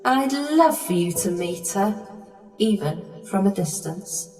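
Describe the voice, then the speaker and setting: A woman's voice: medium pitch, animated, slow. A woman playing a kindly, motherly fairy godmother who tells a pantomime story directly to children. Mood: storytelling. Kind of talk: pantomime narration and acting. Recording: long hall reverb, distant, over music.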